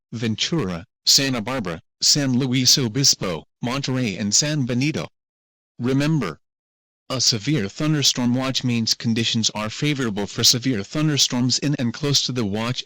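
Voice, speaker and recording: A male announcer delivers official weather warnings in a measured, impersonal way. His mid-pitched voice is recorded very dry, with no sense of space, and he reads out items as a formal list. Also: bright, forceful tone, dry recording, low-quality recording. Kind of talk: formal scripted weather alert reading.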